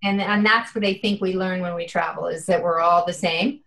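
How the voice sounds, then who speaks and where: A woman's voice: fairly low pitch, fairly even intonation, normal speed, vocal fry, a bit bright. A woman in her fifties, a guest in an interview, speaking earnestly and from the heart with many "you know" fillers. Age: 50s. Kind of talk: casual interview chat.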